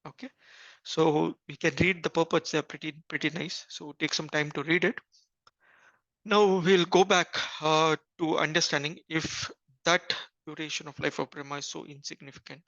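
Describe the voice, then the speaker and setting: A man giving an earnest scripture class, pausing often with fillers and restarts. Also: a little high, fairly even intonation, gravelly, bright, forceful tone, dry recording. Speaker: spiritual teacher. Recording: dull lo-fi recording.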